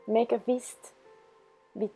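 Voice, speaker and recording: A woman leading a guided meditation, giving instructions in slow, measured phrases. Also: a little high, steady tone, mellow, breathy edge. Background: background music.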